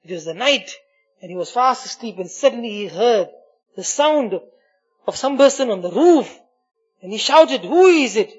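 Husky, pleading man in impassioned prayer. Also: sits high, lively intonation, normal speed, pauses often, soft background music. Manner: pleading, repeats phrases and invocations; swings from hushed murmurs to loud cries. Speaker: religious leader leading a supplication. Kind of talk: impassioned spoken Islamic prayer. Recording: muffled, dull lo-fi audio.

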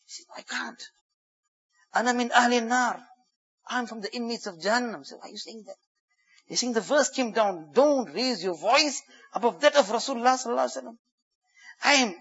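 A man preaching a sermon, telling stories, who swings between loud emphatic phrases and hushed quiet ones and quickly chants Arabic verses. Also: extremely high pitch, breathy edge, dull audio. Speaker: Islamic preacher. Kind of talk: sermon storytelling.

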